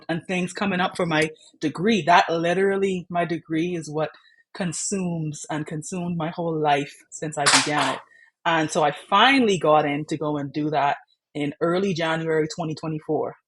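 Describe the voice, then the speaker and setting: A woman's voice: low-pitched, steady tone, normal speed, slightly rough. A young woman from the Bahamas, candidly telling her own patient story in a chatty way. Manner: chatty, frequent "like" and "you know" fillers.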